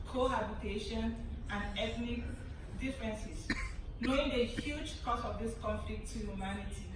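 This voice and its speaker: A young woman from Cameroon, giving a formal prepared address at a conference in an earnest, emphatic way, often repeating phrases. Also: fairly high pitch. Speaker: conference speaker. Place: large conference hall. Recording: echoey hall, distant mic, background noise.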